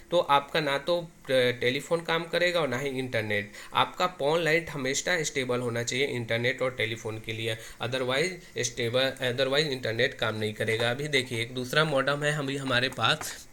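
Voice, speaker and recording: A man's voice: medium pitch, sharp tone, slight background noise. An Indian man giving an informal hands-on tutorial, explaining step by step and often repeating himself. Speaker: tech tutorial presenter.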